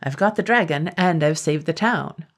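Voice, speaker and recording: A woman voicing a polite, quick-witted male blacksmith, with a low husky voice. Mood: brisk. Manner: polite, brisk. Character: humble clever village blacksmith, a man.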